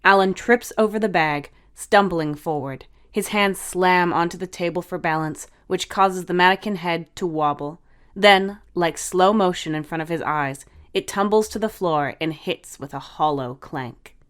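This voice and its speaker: A woman's voice: lowish voice, a little slow, clean tone. A woman narrating a scripted short story.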